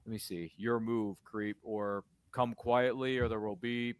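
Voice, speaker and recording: A man's voice: on the low side, steady tone, measured pace, smooth, no room sound. A man chatting on a movie watchalong, often hesitating and restarting his sentences. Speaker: co-host. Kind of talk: casual watchalong chat.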